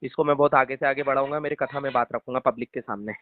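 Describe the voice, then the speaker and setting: A man's voice: medium pitch, fairly even intonation, quick pace. A man chatting casually and supportively on the phone, agreeable and often repeating short affirmations. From Madhya Pradesh, India. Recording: dry narrow phone-call line.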